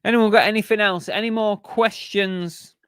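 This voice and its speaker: A man's voice: high voice, fairly even intonation, moderate pace, a bit bright, clear, resonant tone, no echo, clean studio sound. A man hosting a casual live stream about reselling, rambling with fillers and restarts while his voice is giving out. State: losing his voice.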